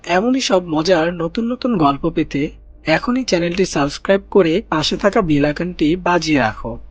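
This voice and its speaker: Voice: medium pitch, breathy edge, no room sound. A narrator reading a scripted children's animal story for a cartoon. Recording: music bed behind narration.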